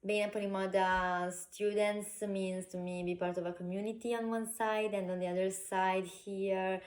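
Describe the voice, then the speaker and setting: A woman in her twenties from northern Italy with an Italian accent, a fashion student answering interview questions in a measured, deliberate way. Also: medium pitch, a little mellow. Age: 20s. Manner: measured, deliberate, carefully chosen words.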